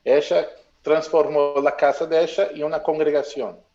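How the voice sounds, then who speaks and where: A man interpreting in consecutive fashion, mixing two languages with a halting manner. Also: fairly high pitch, little pitch movement, warm tone, dull audio. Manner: mixes Spanish and Portuguese, halting.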